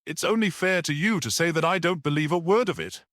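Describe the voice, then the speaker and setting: Mild, sceptical priest sleuth for a mystery storybook, voiced by a male reader, lifted pitch, lively questioning delivery. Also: a little fast, dry recording, clean studio sound. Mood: modest doubt. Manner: modest, quiet, questioning. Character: modest, quietly sceptical priest detective.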